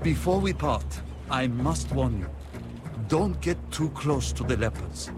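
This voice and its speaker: A man's voice: medium pitch, expressive, measured pace, over music, lightly acted. A man playing a stern, formal bishop. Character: male bishop, firm and cautious authority. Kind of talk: audio drama acting.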